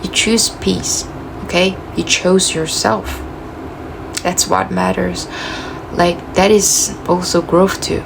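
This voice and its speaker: A woman with a gravelly voice giving a casual, reflective self-help talk, full of "you know" and "like", her voice cracking as she loses it. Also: on the low side, flat delivery, slow, background noise. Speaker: motivational speaker.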